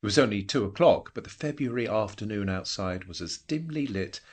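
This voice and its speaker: A man narrating a scripted literary story in a measured, wry storytelling manner. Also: on the low side, animated. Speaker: narrator of an audio drama.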